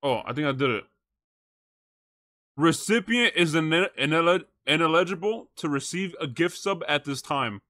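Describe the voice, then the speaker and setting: A man's voice: fairly high pitch, expressive, moderate pace, pushed and bright, dry recording. A young man livestreaming, chatting casually and reacting to clips in slangy, swear-laden talk on a close mic. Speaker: live streamer.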